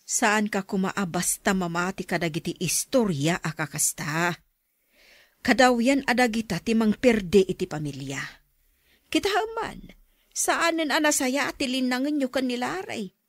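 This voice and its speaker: A woman's voice: fairly high pitch, unhurried, no room sound, clean studio sound. A woman playing a concerned middle-aged mother who gives counsel, in an Ilocano radio drama. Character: concerned mother counselling a quarrelling married couple.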